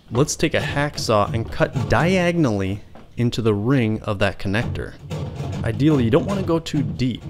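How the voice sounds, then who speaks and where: A man's voice: medium pitch, noisy background. A man hosting a home repair tutorial, talking plainly step by step as he explains the work. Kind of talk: how-to narration and muttering while working.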